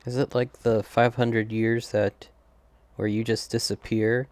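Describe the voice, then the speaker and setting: A woman's voice: rumbling low, little pitch movement, unhurried, darkish tone, no room sound. A woman casually commenting on a game as she plays, musing aloud in a hesitant way. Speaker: gameplay streamer reacting.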